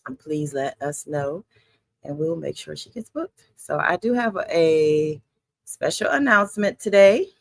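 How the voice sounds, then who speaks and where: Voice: mid-range voice, animated, a little slow, vocal fry, dry recording. A host of an online radio show, greeting viewers and chatting casually during a livestream in an upbeat, welcoming way. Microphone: streaming setup, computer mic.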